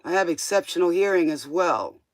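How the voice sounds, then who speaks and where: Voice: mid-range voice, animated, normal speed, no room sound. A livestream tarot host chatting casually in a rambling, informal way, drifting between thoughts and tossing out questioning asides.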